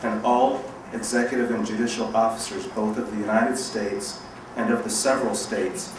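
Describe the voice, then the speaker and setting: A man with a fairly low voice reads legal text aloud in a formal style. He sounds distant in a very echoey space, with clear background noise. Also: fairly even intonation, moderate pace. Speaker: bar association officer reading aloud. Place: large reverberant room at a public event.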